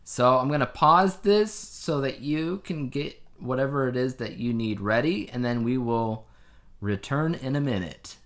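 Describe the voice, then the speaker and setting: A man's voice: medium pitch, lively intonation, a little slow, a bit bright. A man co-hosting a casual podcast, talking in a rambling, anecdotal way. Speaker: podcast co-host. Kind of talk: casual conversational podcast chat.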